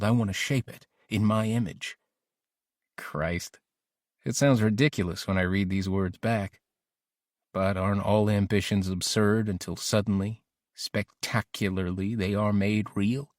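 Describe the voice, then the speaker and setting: Guy doing a moody lovesick teen boy reading his diary for an audiobook, lowish voice, intense, very dry sound. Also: fairly even intonation, moderate pace, dry recording, close to an everyday voice. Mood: grandiose. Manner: introspective, self-questioning, intense. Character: ambitious, lovesick, brooding teenage boy writing a diary. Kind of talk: audiobook narration of a first-person diary.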